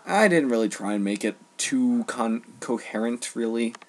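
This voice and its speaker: A man delivering an informal opinion rant, emphatic and halting, often repeating phrases for stress. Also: medium pitch, expressive. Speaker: online ranter.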